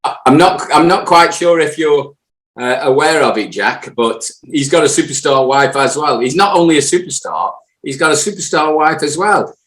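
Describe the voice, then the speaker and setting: A man's voice: fairly high pitch, expressive, moderate pace, a bit bright, roomy sound. British man hosting a casual chat show. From United Kingdom. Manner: jokey, teasing banter. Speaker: podcast host.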